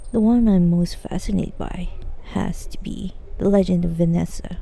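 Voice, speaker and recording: A woman's voice: lowish voice, vocal fry, dark tone, slight background noise, low-quality recording. A woman streaming a game with casual live commentary, sometimes reading game text aloud.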